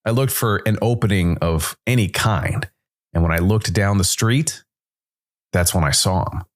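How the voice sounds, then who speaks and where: A man narrating a scripted first-person noir story as a world-weary supernatural detective, brooding and dramatic, with deliberate, weighted pauses. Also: low voice. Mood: brooding, tense. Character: world-weary supernatural noir detective, male jazz musician working for Death.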